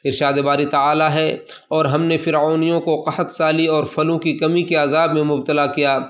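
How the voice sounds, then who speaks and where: A man delivers a Friday sermon translation in a slightly raised, narrow-ranged voice. His reading is formal and steady, with an even cadence that rarely lifts or falls. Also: fairly high pitch, moderate pace. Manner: addresses listeners as servants of God.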